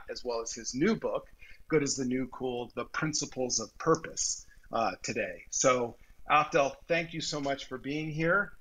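A man hosting a live audio event, introducing and interviewing a guest in measured, stop-and-start phrases. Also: mid-range voice, a bit bright, breathy edge, low-quality recording.

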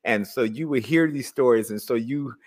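A male interviewer asks about a guest's book and often backs him up with short affirmations. His voice sits at a middle pitch, plain and conversational. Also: moderate pace. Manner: affirming, frequent mm-hmms. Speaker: interview host. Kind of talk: interview questions.

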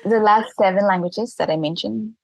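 Husky woman. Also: medium pitch, moderate pace, darkish tone, no room sound, low-quality recording. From Thailand. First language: Thai. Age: 30s. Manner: earnest, laughs often, restarts phrases. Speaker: guest, language teacher. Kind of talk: casual interview talk.

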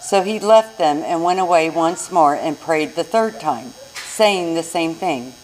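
A woman's voice: deep voice, lively intonation, measured pace, darkish tone, a little hiss or hum, rough recording. A woman narrating a scripted scripture reading in church. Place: inside a church.

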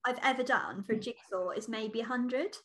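A woman's voice: a little high, normal speed, background noise. A woman co-hosting a podcast, chatting casually and expressively with a friend. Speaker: podcast co-host. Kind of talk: casual chat between friends.

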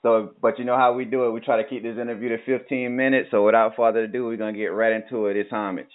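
A man's voice: medium pitch, flat delivery, fast, noisy background. Laid-back male hip hop host, phone-thin. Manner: laid-back, friendly slang. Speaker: podcast host. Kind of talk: casual hip hop show hosting. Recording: tinny phone-like line.

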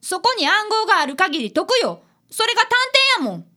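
A woman voices an eager fifth-grade boy in a detective radio drama. The voice is high, bright and animated. He is polite and earnest, with a Kansai accent, as he narrates and talks. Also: fairly quick, full character voice. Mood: firm, caring. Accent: Kansai Japanese.

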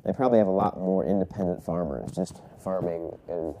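A man's voice: low voice, fairly quick, warm tone, slight background noise. A man recovering from a cold, congested and coughing, rambling casually through his solo podcast with many fillers and self-corrections. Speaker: podcast host.

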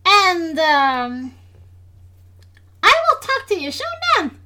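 High-voiced Dutch woman hosting a podcast. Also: sing-song, slow, background noise. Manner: hesitant, many fillers and restarts. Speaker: podcast host. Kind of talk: casual podcast chat.

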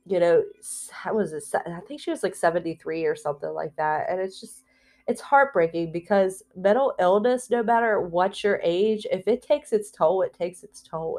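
A woman talking candidly in a personal spiritual vlog, rambling and heartfelt, with fillers, restarts and soft laughs between phrases. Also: medium pitch. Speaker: spiritual vlogger.

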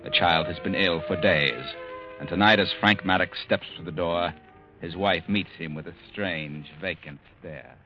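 A man narrating a 1940s radio drama as a grave storyteller, measured and dramatic. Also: sits low, close to an everyday voice. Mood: grave, suspenseful. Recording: dull old radio recording. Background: dramatic music.

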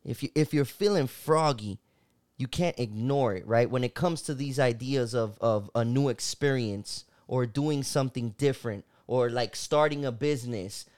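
A man talks as a podcast host in an easy conversational style at an average pitch. He uses relaxed slang and sounds earnest and reflective as he makes his points. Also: moderate pace. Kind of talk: casual conversational podcast talk.

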